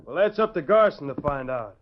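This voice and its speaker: A man playing a folksy, excitable cowhand in a 1940s western radio drama. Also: on the high side, brisk, a little hiss or hum, natural voice. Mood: challenging. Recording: muffled old radio recording.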